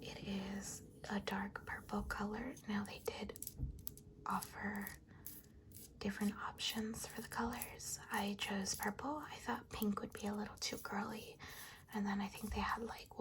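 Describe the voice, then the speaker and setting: A young woman hosting an ASMR video, speaking softly in a whispery, sometimes raspy voice and chatting in a rambling way with fillers. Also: mid-range voice, little pitch movement, moderate pace. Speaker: ASMR channel host. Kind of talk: soft-spoken ASMR.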